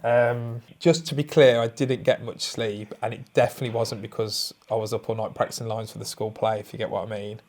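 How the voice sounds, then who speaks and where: A British man making a prank call, oversharing in a rambling, stammering and deadpan way. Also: medium pitch, brisk, hardly any pauses. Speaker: prank caller.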